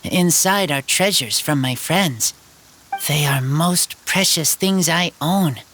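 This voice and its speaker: A man voicing an earnest teenage boy raised by wolves, speaking in halting, simple sentences for a video game. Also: on the high side, sharp tone, slight background noise. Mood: wistful, sincere. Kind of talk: video game character voice acting.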